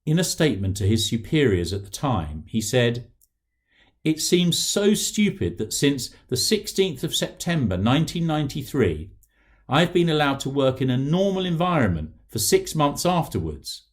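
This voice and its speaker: A middle-aged man with a British accent, an author reading aloud from his non-fiction book in an articulate, deliberate and formal way. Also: medium pitch, very animated.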